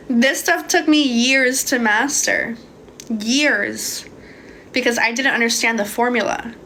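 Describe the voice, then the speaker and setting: Young woman from hungary, slightly high voice, casual livestream coach, swears, calls people babe, phone mic, faint noise. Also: takes it slowly, sharp tone. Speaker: manifestation coach. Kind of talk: casual livestream Q&A, reading viewer comments.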